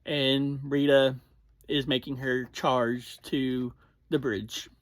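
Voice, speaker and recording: Slow, halting reviewer, close dry sound. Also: mid-range voice, level tone, no echo. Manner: halting, pausing between short phrases. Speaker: online reviewer. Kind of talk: casual episode recap and review.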